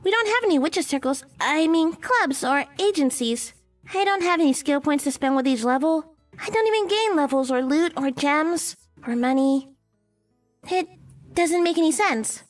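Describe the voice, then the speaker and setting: A woman's voice: high voice, lively intonation, normal speed, distant mic, soft background music. A woman voicing an earnest, matter-of-fact young witch in a visual novel game. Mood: insistent.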